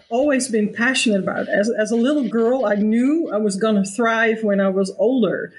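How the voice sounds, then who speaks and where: A woman in her fifties speaks in an unhurried, reflective way. She often restarts her sentences and laughs easily as she talks. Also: mid-range voice, low-quality recording. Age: 50s. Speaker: guest. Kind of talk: conversational interview.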